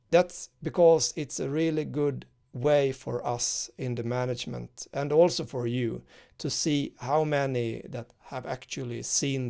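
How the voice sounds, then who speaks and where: A man's voice: mid-range voice, gravelly, darkish tone. A man in his thirties with a Swedish accent, explaining a university course in a recorded screen-share walkthrough, talking informally with lots of fillers and restarts. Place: indoor room, screen-share webcam inset.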